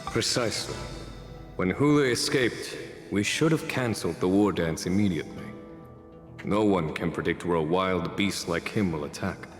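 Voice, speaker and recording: An actor playing a composed, calculating strategist commander in a video game, measured, assured and formal. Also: male voice, sits low, close to an everyday voice. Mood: calm explaining. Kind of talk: video game cutscene dialogue. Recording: echoey hall, faint music.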